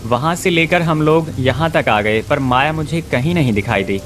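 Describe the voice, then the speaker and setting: Man playing an earnest, high-voiced village husband. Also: normal speed, fairly bright tone, soft background music, close to an everyday voice. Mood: worried. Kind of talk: Hindi cartoon story voice acting.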